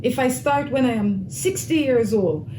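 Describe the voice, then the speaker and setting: A woman's voice: fairly high pitch, expressive, unhurried. A woman hosting a talk show, interviewing a guest and restating his answers to check them. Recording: echoey room with noise.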